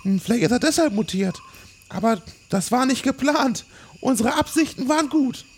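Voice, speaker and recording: A man voicing an earnest female scientist in falsetto, explaining things in parody film dialogue. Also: lively intonation, moderate pace, background noise.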